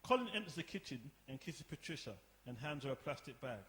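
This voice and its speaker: A male narrator reads scripted stage directions for a drama. His voice is a little high for a man. The recording is echoey, with some hiss behind it. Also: sing-song, fast, a bit bright. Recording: echoey room, live performance, hiss.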